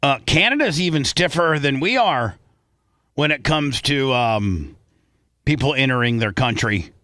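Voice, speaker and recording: A man's voice: medium pitch, animated, unhurried, pushed and bright, no echo, clean studio sound. A man hosting a talk show in casual radio style, opinionated and repeating his points for emphasis.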